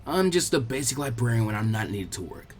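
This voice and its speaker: A man voicing a calm, middle-aged head librarian in an audiobook story, measured and mildly chiding. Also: mid-range voice, faint music behind, pitched below the performer's own voice, lightly acted. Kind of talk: fan fiction audiobook character voice.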